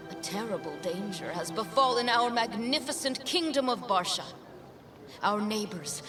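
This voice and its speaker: A woman playing an imperious queen in a video game, rallying her kingdom for war with grandiose, commanding oratory. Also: fairly high pitch. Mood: grave, stirring.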